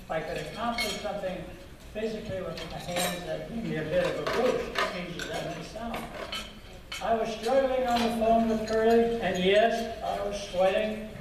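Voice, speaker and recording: An older man earnestly recounting his life story in a slow, reflective manner, recorded from a distance. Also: high-pitched, heavy background noise. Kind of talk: personal storytelling talk. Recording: echoey and distant with loud background clatter.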